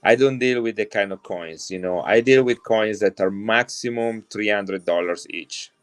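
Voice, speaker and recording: A man's voice: fairly low pitch, normal speed. A middle-aged man from Italy with an Italian accent, chatting enthusiastically to viewers as he shows and sells coins on a live stream. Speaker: coin seller on a live stream. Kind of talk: casual live-selling chat.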